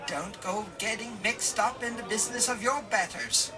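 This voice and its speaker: An actor playing a garrulous old rustic hobbit gardener in an audio drama, rambling and emphatic in a folksy way. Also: male voice, high-pitched, normal speed, lightly acted. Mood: admonishing. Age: older. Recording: music and crowd murmur behind.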